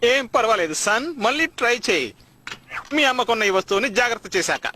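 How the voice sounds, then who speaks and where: A pompous cartoon father who loves his gadgets. He talks fast in a high voice, boastful and pedantic, with clear music playing behind him. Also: expressive, background noise. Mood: coaching. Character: boastful gadget-loving cartoon dad, controlling techno geek. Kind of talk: dubbed cartoon acting.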